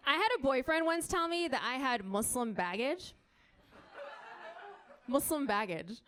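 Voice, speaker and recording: A woman's voice: sits high, a bit bright. Wry young woman doing stand-up. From United States (Colorado). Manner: wry, punchy delivery with quick asides to the crowd. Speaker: stand-up comedian. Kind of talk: stand-up comedy set. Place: comedy club stage.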